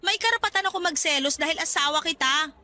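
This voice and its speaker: Jealous hurt filipino wife, radio drama, really high bright voice, argues back and pleads. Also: female voice, fast. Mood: defensive, irritated. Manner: argumentative, pleading.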